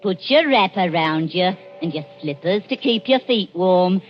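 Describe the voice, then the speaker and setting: Sly old crime matron played by an actress. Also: female voice, on the low side, expressive, moderate pace, lightly acted. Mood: coaxing. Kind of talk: 1940s radio acting. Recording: dull vintage 1940s radio recording, music.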